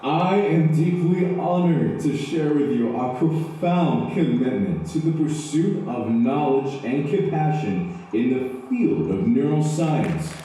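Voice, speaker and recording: Far from the mic in a crowd, a lofty science professor speechifying, mellow voice, audio drama. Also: male voice, on the high side, lively intonation, slow, hardly any pauses, slight background noise. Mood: earnest, solemn. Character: earnest, lofty male neuroscience department chair. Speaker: speaker at a science expo. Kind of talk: formal public speech, audio-drama acting. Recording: echoey, distant hall with crowd ambience.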